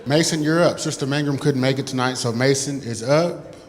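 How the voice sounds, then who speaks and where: A man's voice: medium pitch, brisk. A man acting as emcee at a live program, announcing the next performers. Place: large church hall. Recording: echoey hall, distant, crowd sounds.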